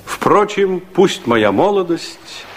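An actor playing a reflective older man who recalls his youth, narrating a radio drama in a measured, wistful way. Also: high voice, very animated, slight background noise, full character voice. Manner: measured, wistful, with weighty pauses. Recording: 1960s radio recording, loud music behind.

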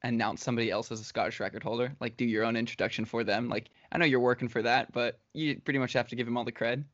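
A man's voice: medium pitch, fairly even intonation, fast talker, a bit bright, dry recording. A young man hosting a podcast, loose and rambling with lots of fillers. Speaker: podcast host. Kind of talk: casual podcast chat. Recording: dull lo-fi dry call audio.

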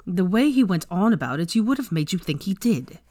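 A woman voicing a bored, teasing young man with a whining, drawn-out way of talking. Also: lowish voice, very animated, warm tone. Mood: bored, complaining.

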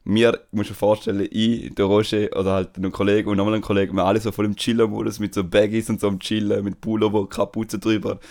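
A young man with a Swiss German accent tells a story on a podcast. His voice sits a little low, and he talks in a relaxed, slangy way with plenty of hesitations. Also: fast talker, vocal fry, few pauses. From Switzerland. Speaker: podcast host. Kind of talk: casual chat.

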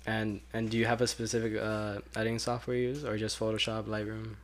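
A man's voice: fairly low pitch, monotone, fairly quick. A man hosting a casual podcast interview, asking curious questions with hesitant fillers. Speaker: podcast host.

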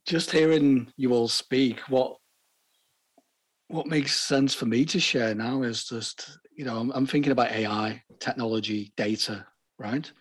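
A man taking part in a casual panel discussion, speaking in a measured, reflective way. He thinks aloud at a middle pitch.

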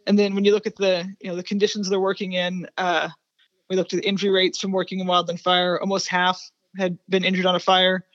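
A woman's voice: lowish voice, little pitch movement, quick pace, no room sound. Woman researcher in dull, dry audio. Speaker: researcher guest. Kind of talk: interview discussion of research findings.

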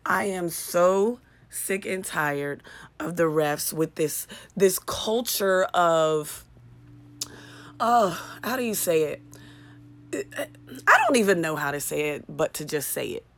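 Woman, low raspy voice, podcast host; casual, candid, ranty, self-deprecating. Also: animated, slow pace. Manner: chatty, candid, ranting with swearing and self-mocking humor. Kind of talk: casual solo podcast monologue.

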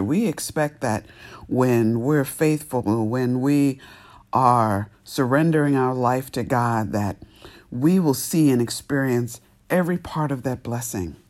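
A male pastor delivering a sermon at an unhurried, measured pace. His voice carries a slight sniffle, and he leans into key words with deliberate pauses between phrases. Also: mid-range voice, a little mellow. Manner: slow, deliberate, emphatic pauses.

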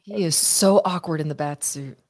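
A woman's voice: low-pitched, moderate pace, a little mellow. A woman co-hosting a podcast, giving blunt, opinionated takes in casual watch-party chat. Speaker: podcast co-host.

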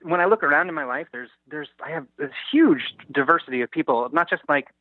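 A man calling in as a guest writer, talking casually in a halting, self-effacing, rambling way. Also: on the high side, no room sound. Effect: phone line. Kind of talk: casual phone interview. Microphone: telephone call-in. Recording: thin, dry phone-line sound.

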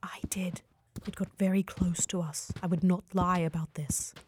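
A woman playing a calm, careful traveler in a fantasy audio drama, speaking in measured, formal phrases. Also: on the low side, a little hiss or hum, lightly acted. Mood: hushed, grave. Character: Ruth, calm, serious, careful female traveler.

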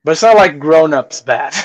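A man's voice: fairly high pitch, slightly rough, no echo. A man co-hosting a podcast, chatting casually about movies in a conversational, storytelling way. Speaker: podcast co-host.

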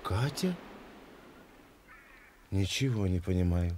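An actor playing a shy, awkward, plain-spoken man in a radio play, halting and fumbling for words. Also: low voice, lively intonation, darkish tone, a little hiss or hum.

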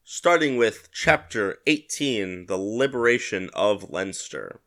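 A man's voice: fairly low pitch, lively intonation, takes it slowly, a bit bright. A man hosting a casual solo podcast, sounding a little congested from a head cold and talking in a rambling, self-correcting way. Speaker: podcast host.

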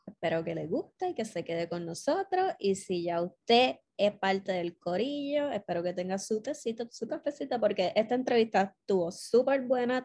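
Woman cohosting a podcast, normal mid pitch, casual intro talk. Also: darkish tone. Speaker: podcast co-host.

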